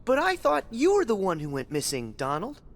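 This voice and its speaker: A man's voice: sits high, wildly swooping pitch, fairly quick, exaggerated voice. A man voicing an earnest, determined and loyal teenage boy hero in a video game. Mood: grateful, earnest. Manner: earnest, emphatic. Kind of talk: animated video game voice acting.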